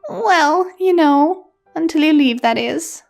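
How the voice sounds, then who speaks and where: A woman voicing a bubbly, high-pitched young pony mare who keeps up a cheerful front in a storybook tale, over music. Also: takes it slowly, vocal fry, full character voice. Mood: sheepish. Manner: excitable, exclaiming.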